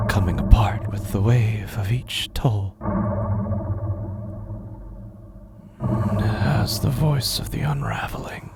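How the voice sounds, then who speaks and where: An actor playing a tormented man narrating his own unravelling in a horror audio drama, halting and intense, with a gravelly, breathy voice. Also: lowish voice. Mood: hushed dread. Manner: halting, fragmented, intense delivery. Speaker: actor in a horror anthology podcast. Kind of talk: dramatic first-person horror monologue. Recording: music under the voice. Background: prominent music.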